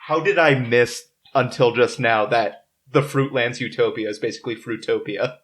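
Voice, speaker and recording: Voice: medium pitch, normal speed. A podcaster chatting casually, joking and breaking off into side remarks.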